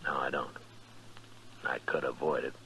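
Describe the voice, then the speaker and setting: A very deep, grim frontier marshal. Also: male voice, steady tone, normal speed, sharp tone, breathy edge, full character voice. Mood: weary, resigned. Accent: American. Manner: terse, grim, plain-spoken. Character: weary, stern frontier US marshal, hard and resolute. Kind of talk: 1950s radio western acting. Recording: dull lo-fi 1950s radio recording.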